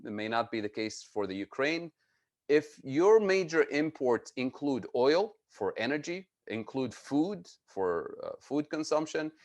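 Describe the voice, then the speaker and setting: A man from Tunisia giving an explanatory economics presentation, speaking deliberately and emphatically and repeating phrases for stress. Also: medium pitch. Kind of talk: explanatory lecture with slides.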